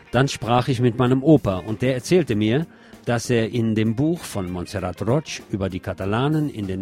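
Man, low-pitched voice, scripted news reading, radio presenter, guitar music behind.

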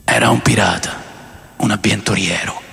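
A man playing a world-weary rock musician telling his wild memories in a radio drama, with a gravelly, creaky voice. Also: fairly low pitch, normal speed, bright, forceful tone, full character voice. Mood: nostalgic, confiding. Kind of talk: dramatised memoir monologue in a radio play.

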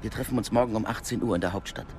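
Low-voiced, discreet intelligence man played by an actor. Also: racing, darkish tone, slight background noise, natural voice. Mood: resolute, discreet. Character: discreet male Cold War intelligence contact, calm and knowing. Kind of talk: naturalistic German radio drama acting.

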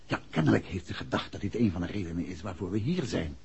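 An actor playing a composed, courteous gentleman detective with a husky voice in a 1960s radio play. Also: low-pitched, sing-song, fast talker, a crowd in the background, full character voice. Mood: thoughtful. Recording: dull old radio recording.

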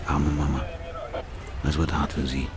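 An actor playing a crude, lecherous middle-aged convict in a radio drama monologue, gravelly and sneering. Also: male voice, very deep voice, flat delivery, unhurried, background noise. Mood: cynical, musing. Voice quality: gravelly, creaky. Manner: vulgar, sneering, musing.

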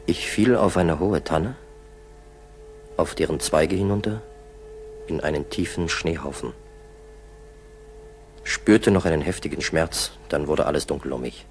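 A man's voice: very deep voice, unhurried, pauses often. A man acting an earnest, determined Soviet fighter pilot lieutenant in a 1960s German radio drama. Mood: grave, recounting. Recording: 1960s school radio tape, faint hiss.

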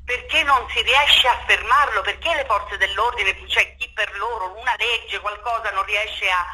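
A woman's voice: mid-range voice, normal speed, bright, forceful tone, low-quality recording. A woman speaking off the cuff in an interview, emphatic and impassioned, repeating words and seeking agreement. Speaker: theatre director being interviewed. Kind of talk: spontaneous radio interview talk.

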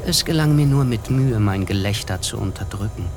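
Male actor as wry, observant literary narrator for radio drama, measured delivery, music underneath. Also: on the low side, close to an everyday voice. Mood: reflective, wry. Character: reflective male narrator of a 1920s American novel, observant, wry. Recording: music behind the voice.

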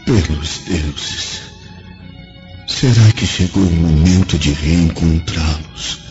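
A brooding Roman nobleman in a radio play, full of remorse and self-doubt. His voice sits very low and speaks with theatrical earnestness. Faint music plays behind the slightly muffled recording. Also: slow pace, darkish tone. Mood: anguished, doubting. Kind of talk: radio drama acting. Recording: dull lo-fi audio with faint music.

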